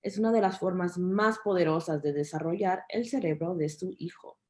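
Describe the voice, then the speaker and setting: Woman, lowish pitch, mellow dark tone, interpreter, addressing families and children. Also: fast. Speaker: Spanish interpreter for children's program.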